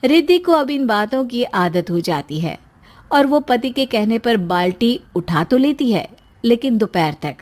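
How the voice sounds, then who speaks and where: A woman's voice: fairly high pitch, very animated, measured pace, darkish tone. A woman reading scripted storybook narration as the narrator of a tale.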